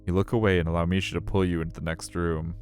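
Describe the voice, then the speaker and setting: A man's voice: deep voice, level tone, quick pace, darkish tone. A man reading a visual novel aloud as a streamer, in a storytelling style. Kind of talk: storytelling narration with casual asides. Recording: music under the voice.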